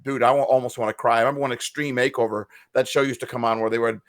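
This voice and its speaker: A man's voice: medium pitch, steady tone, very fast, dry recording, studio quality. A man co-hosting a podcast over a video call, talking earnestly and emotionally about helping people. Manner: earnest, emotional, self-described feeler. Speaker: podcast co-host. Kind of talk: casual video-call chat.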